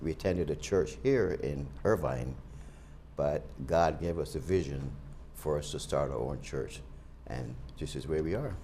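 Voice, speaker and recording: Older guy from barbados, pastor, real low voice, kinda halting, stops and restarts a lot. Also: dark tone, a little hiss or hum. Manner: earnest, hesitant with many restarts. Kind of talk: interview answers.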